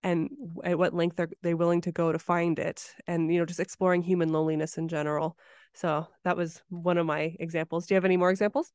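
A woman hosts a podcast in a casual, thoughtful style. Her voice is clean and smooth with little melodic movement, recorded close and dry. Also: lowish voice, flat delivery, quick pace, darkish tone, no room sound. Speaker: podcast host.

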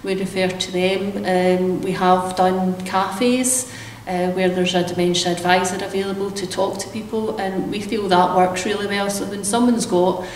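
Earnest woman, flat voice, echoey room. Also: mid-range voice, a little hiss or hum. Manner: earnest, measured, with frequent restarts and fillers. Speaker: carers centre manager. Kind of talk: vox pop interview. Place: hotel room by a window, indoors. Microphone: camera mic from a distance. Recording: echoey room, voice distant from mic.

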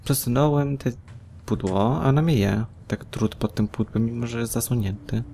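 A man casually chatting while playing a game with a friend, teasing and saying "lol" out loud. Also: mid-range voice, moderate pace, vocal fry, slight background noise. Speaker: co-player. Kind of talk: casual let's-play chat.

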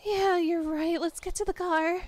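A woman's voice: very high-pitched, steady tone, a little slow, a little mellow. A woman playing a clingy, obsessive young girlfriend in an ASMR roleplay, sweet, gushing and emotional, with an apologetic, hesitant way of talking. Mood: excited, loving.

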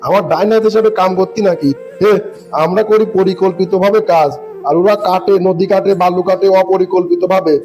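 Corrupt, bossy cartoon official male actor, high voice, northern Bangladeshi accent, smugly condescending. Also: fairly even intonation, a little fast, warm tone, faint music behind, natural voice. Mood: smug, patronising. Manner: patronising, smugly explanatory. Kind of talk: comic cartoon dialogue.

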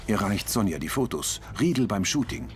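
A man's voice: lowish voice, very animated, normal speed, background noise. A man reading scripted audio description of a television drama as its narrator.

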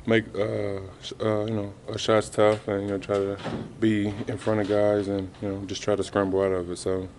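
A man in his thirties answering reporters after a game, halting and subdued, with many fillers. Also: lowish voice, completely flat delivery, background noise. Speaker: basketball player at a postgame press conference. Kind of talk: postgame press interview. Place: arena press room, indoors. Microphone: reporters' handheld mics held close.

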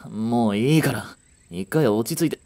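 A man's voice: mid-range voice, normal speed, mellow, natural voice. A man playing a frustrated young working boyfriend in a romantic audio drama, speaking in blunt, casual masculine language. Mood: upset. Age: young adult.

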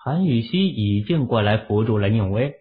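A man's voice: lowish voice, lively intonation, normal speed. A man narrating a novel as a scripted, dramatic audiobook reading. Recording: tinny, narrow-band phone-like audio.

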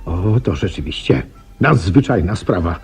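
An actor playing a detective's loyal companion in 1970s radio drama style, curious and polite, questioning eagerly with small hesitant interjections. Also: male voice, low-pitched, animated, unhurried, a little mellow, husky, heavily acted. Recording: dull, band-limited 1970s radio recording.

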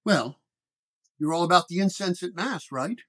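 A man playing a calm, measured, wry paranormal detective in a horror story. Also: high voice. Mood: wry, explaining.

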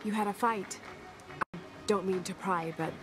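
An actress with a British accent playing a calm, determined young adventurer in a video game. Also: female voice, medium pitch, moderate pace, over music, close to an everyday voice. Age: young adult. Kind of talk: video game cutscene acting.

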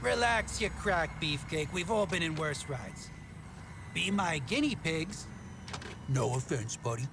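A man's voice: on the high side, animated, measured pace, gravelly, background noise. A man voicing a small, crude gerbil inventor in a video game, brash, cocky and insulting. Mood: smug. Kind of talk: video game cutscene acting.

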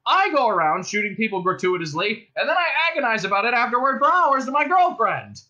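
A man's voice: sits high, big pitch swings, fast talker, dull audio, pitched above the performer's own voice, full character voice. A man voicing a self-pitying, gun-happy space cop in a comic sci-fi audiobook, calling out in an earnest, indignant way. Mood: indignant, aggrieved. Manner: shouting through a bullhorn, earnest and indignant.

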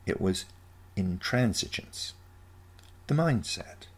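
A man voicing a calm, condescending, self-assured teenage granddaughter from the future in a short story reading. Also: low-pitched, slow, husky, in a whisper, voice pushed up, heavily acted. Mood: serious, grave. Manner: calm, matter-of-fact, condescending.

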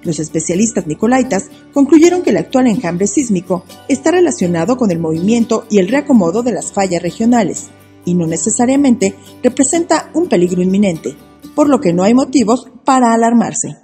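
A woman reporter reading a scripted science news piece in a measured, explanatory way. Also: mid-range voice, animated, mellow. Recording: reverberant voice-over with music.

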